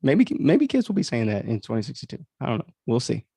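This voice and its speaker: A man hosts a casual livestream about his own writing. His voice is mid-pitched with plenty of vocal fry, recorded dry. He thinks out loud in a rambling, self-deprecating way. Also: wildly swooping pitch, fast, no room sound. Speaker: author and livestream host.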